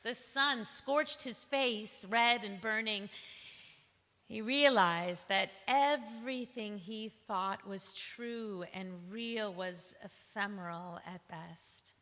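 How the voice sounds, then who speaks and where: A woman giving a deliberate, theatrical spoken word reading as one of a cast in a dramatic storytelling piece. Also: mid-range voice, pushed and bright. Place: large reverberant temple hall. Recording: long hall reverb.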